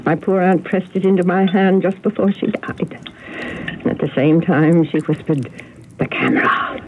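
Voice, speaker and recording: Actress as a fretful older spinster, old radio recording. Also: female voice, fairly low pitch, steady tone, normal speed, natural voice. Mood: earnest, secretive. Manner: fretful, genteel, dramatic storytelling. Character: agitated, genteel older spinster haunted by family secrets. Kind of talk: radio mystery drama acting. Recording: narrow, noisy old radio broadcast recording.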